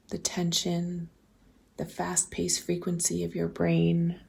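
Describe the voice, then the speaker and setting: Woman doing guided visualization, soft breathy almost whispery, lots of vocal fry, really mellow, gentle questions. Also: lowish voice. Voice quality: soft, breathy, often slipping toward a whisper. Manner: gentle, deliberate, posing open questions with pauses. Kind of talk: slow guided meditation, reflective questions.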